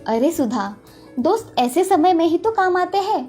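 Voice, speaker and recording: A woman plays a kind village wife in a children's story. She is high-pitched, earnest and gentle, with faint music underneath. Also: very animated, normal speed. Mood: reassuring. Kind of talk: dramatised children's moral story.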